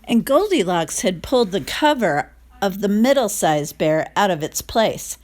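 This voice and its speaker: A woman reading a children's story aloud in a careful, expressive narrating style. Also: mid-range voice, a little slow. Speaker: storybook reader.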